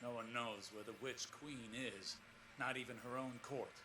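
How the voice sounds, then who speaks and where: Game role: male actor as earnest, lonely scholar-explorer, mid-pitched, formal and reflective logs, clearly noisy backdrop. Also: steady tone, close to an everyday voice. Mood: grave, awed. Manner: measured, reflective, formal diction. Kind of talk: scripted audio-log narration in a video game.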